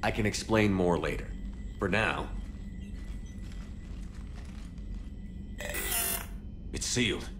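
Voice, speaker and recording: A man's voice: deep voice, normal speed, a bit bright, a little hiss or hum. A man playing a battle-hardened, determined space commander in a video game, with dry, wry humour. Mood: businesslike. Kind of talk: cinematic video game acting. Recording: music behind the voice.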